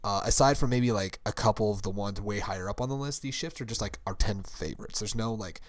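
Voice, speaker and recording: A young man co-hosting a casual chat show, his voice hoarse, deep and gravelly from screaming, rambling upbeat and laughing often. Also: fast talker, no room sound. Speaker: podcast co-host.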